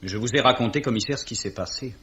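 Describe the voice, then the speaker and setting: Husky, meek young gentleman. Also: medium pitch, quick pace. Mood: hesitant. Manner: hesitant, deferential. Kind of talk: 1950s French radio drama acting.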